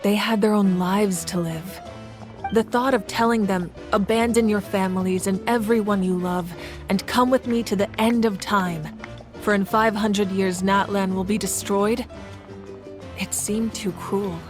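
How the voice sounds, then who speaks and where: A noble female leader of a nation in a video game, played by a woman. She is composed and earnest, with measured, formal phrasing and a steady sense of duty. Music plays behind her voice. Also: medium pitch, close to an everyday voice. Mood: reflective, calm. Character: noble, resolute female war leader of a nation, calm and dutiful. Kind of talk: scripted video game dialogue.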